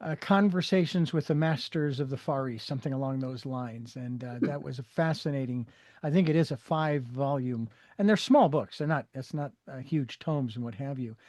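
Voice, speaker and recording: A man's voice: mid-range voice, normal speed, darkish tone, no room sound. A man hosting an interview show, speaking in a rambling way with many fillers and restarts. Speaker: podcast host.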